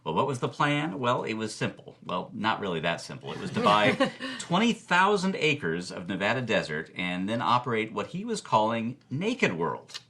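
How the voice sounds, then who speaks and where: A man's voice: fairly low pitch, wildly swooping pitch, normal speed, bright, forceful tone, a little hiss or hum, exaggerated voice. A man playing a gossipy film-history expert, telling scandalous stories theatrically as a podcast guest and answering his own questions. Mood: gleeful, scandalized. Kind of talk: animated storytelling in a podcast interview.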